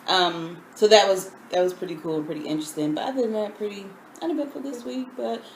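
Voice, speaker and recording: A woman co-hosting a casual TV recap chat, talking in a relaxed way with many ums and drawn-out phrases. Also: mid-range voice. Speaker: co-host. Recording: echoey room with faint noise.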